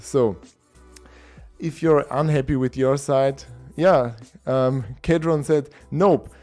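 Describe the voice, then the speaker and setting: A man hosting a casual live stream, a bit hoarse from being unwell, chatty and enthusiastic. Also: fairly high pitch, darkish tone. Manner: enthusiastic, chatty, with laughs and restarts. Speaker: host of a typography livestream.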